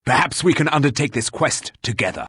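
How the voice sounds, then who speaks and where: A man voicing a stern, humorless, gruff hired warrior in a video game, with a husky voice and a curt, scornful way of speaking. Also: mid-range voice, expressive, fairly quick, a bit bright, dry recording, clean studio sound. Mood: formal.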